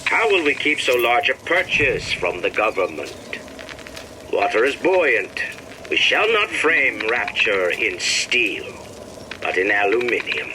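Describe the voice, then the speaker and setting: An actor playing a pompous industrialist ruler, delivering theatrical, oratorical propaganda with emphatic grandeur. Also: male voice, mid-range voice, big pitch swings, slow, noisy background. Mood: proud, calculating. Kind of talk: propaganda address, theatrical game voice acting.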